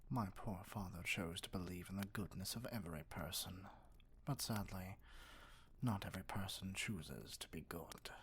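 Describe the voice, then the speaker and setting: A man playing an arrogant, dominant evil sorcerer king in an ASMR roleplay, speaking in a measured, condescending, breathy near-whisper. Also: low voice, a bit bright, a little hiss or hum. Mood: brooding, reflective.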